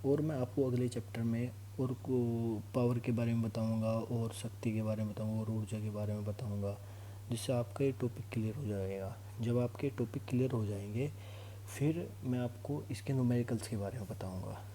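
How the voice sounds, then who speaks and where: A man's voice: mid-range voice, steady tone, normal speed, dark tone. A man teaching an informal lesson, explaining in an instructional way and often checking that students follow. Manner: instructional, repeatedly checks understanding. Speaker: physics teacher for exam students. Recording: clear background noise with hum.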